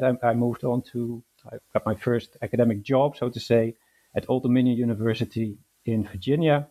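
A man from the Netherlands talks about his academic path in an interview. He pauses often and fills gaps with "uh", and he restarts his sentences. His voice is mellow and relaxed. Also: medium pitch, rough recording. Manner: hesitant, many fillers and restarts. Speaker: historian guest.

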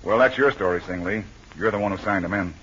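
An actor playing a tough, loyal military unit leader, direct and sardonic, in a 1940s radio adventure. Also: male voice, on the low side, fast talker, a bit bright, slight background noise, natural voice. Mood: firm. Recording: muffled old radio recording.

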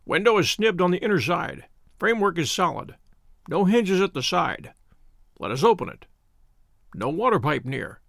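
A man voicing a cool, brilliant male detective who explains his reasoning crisply, like a professor to a class. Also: high-pitched, sing-song, moderate pace, long pauses, fairly bright tone, no echo, clean studio sound, full character voice. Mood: brisk lecturing.

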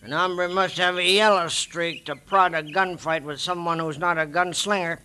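A cold western heavy, sharp, bright tone, vintage radio play. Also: male voice, a little high, fairly even intonation, moderate pace, smooth. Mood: menacing. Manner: cold, sneering. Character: sneering western gambler villain. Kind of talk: 1950s radio drama acting.

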